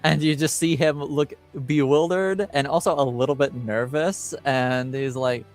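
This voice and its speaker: A man casually improvising as game master of a tabletop game, in a hesitant, halting manner. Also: on the high side, steady tone, faint music behind.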